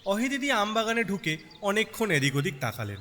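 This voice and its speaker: Lively bright-voiced man telling a story. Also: high-pitched, a little fast. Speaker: story narrator. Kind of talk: dramatic audio storytelling narration. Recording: long reverb, background night insect noise.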